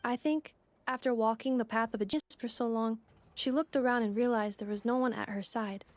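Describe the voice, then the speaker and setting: A woman voicing a polite, composed female robot assistant in a video game, speaking in a measured, formal, explanatory way. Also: on the high side, fairly even intonation, natural voice. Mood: earnest. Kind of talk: anime-style video game voice acting. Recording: faint music behind the voice.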